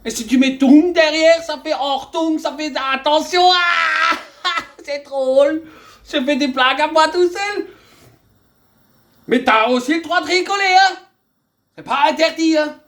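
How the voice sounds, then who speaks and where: A woman's voice: sits high, unhurried, fairly bright tone. A woman with an Alsatian accent hosting a comedic cooking demonstration, joking and chatting straight to the viewer. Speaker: cooking video host.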